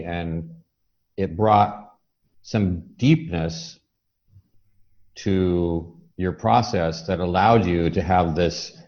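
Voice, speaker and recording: A man hosting an interview in a casual, reflective style, halting and thoughtful as he affirms what his guest has shared. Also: deep voice, darkish tone. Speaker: podcast host. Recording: dull band-limited lo-fi call audio.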